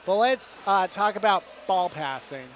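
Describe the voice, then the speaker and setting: A man's voice: high voice, lively intonation, measured pace, a little hiss or hum. A man commentating a college pregame show in a gymnasium, upbeat, loose and jokey. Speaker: sports commentator.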